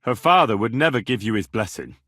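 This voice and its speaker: A young British man as a medieval servant turned adventurer in a video game. His voice is husky and middle-pitched, with lively, swinging intonation. He speaks earnestly and plainly, in a dry, close recording. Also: a little fast, no echo. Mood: matter-of-fact. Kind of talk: scripted game character dialogue.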